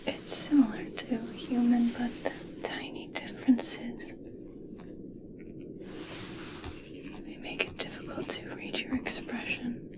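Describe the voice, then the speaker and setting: Slow whispering obsessed female scientist, acted by a woman. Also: fairly high pitch. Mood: curious, studying. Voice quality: soft, breathy whisper. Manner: slow, gentle, halting, fixated. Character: obsessed, adoring female scientist studying a captive merperson. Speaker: ASMR roleplay performer. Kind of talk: whispered ASMR roleplay.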